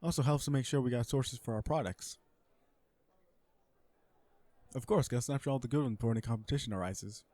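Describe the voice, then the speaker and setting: Casting: male actor as a sardonic, flirty corporate supervillain for romantic comedy audio roleplay, mid-pitched, conversational and sure of himself. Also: fast, dark tone, no echo, natural voice. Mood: smug, exasperated. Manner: conversational venting, sardonic and self-assured. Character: cocky, flirtatious businessman supervillain on a blind date.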